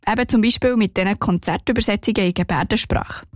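A woman's voice: mid-range voice, quick pace, dry recording, clean studio sound. Swiss German woman reporting on radio. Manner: calm, clear storytelling delivery. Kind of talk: scripted radio report narration.

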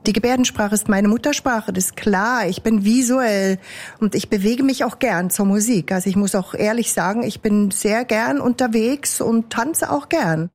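A woman with a Swiss German accent interpreting aloud in the first person, measured and thoughtful, with small hesitations. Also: mid-range voice, a little mellow. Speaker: sign language interpreter.

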